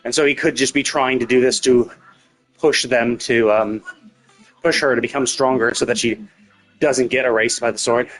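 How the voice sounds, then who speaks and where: A man's voice: medium pitch, fairly even intonation, moderate pace, fairly bright tone, faint music behind, rough recording. A man giving casual, speculative game commentary as a live streamer, thinking aloud and often rephrasing himself.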